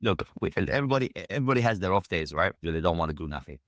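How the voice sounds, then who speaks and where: A man's voice: low-pitched, expressive, very fast, fairly bright tone, no room sound, clean studio sound. A British man in his thirties telling his story in a casual, emphatic, conversational way as a podcast guest. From United Kingdom.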